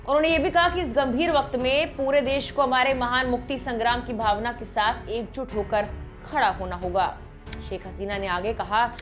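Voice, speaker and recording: Female news presenter, somewhat high voice, formal scripted read with emphasis, light music underneath. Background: background music.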